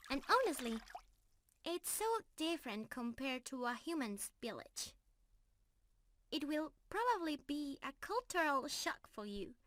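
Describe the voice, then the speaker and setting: High, sweet young mermaid, acted by a woman. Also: fairly bright tone, no room sound. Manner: affectionate, breathy sighs and giggles, emotional. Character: young female mermaid, sweet, adoring, emotional romantic partner. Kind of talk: ASMR romantic roleplay.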